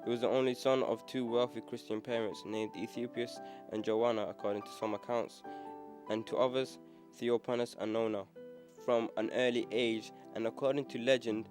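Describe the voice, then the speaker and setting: A man reading documentary narration in a flat, even way. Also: medium pitch, moderate pace, music in the background.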